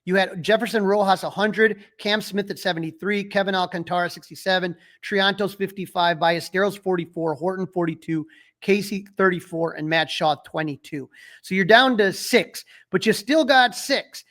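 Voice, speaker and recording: A man's voice: high-pitched, moderate pace, fairly bright tone. A man co-hosting a sports podcast, talking in a casual, conversational style. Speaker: podcast co-host.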